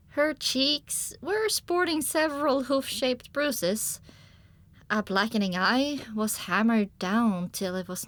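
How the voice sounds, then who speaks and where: A woman's voice: on the high side, fairly bright tone. A woman reading dramatic first-person audiobook narration, with music playing underneath.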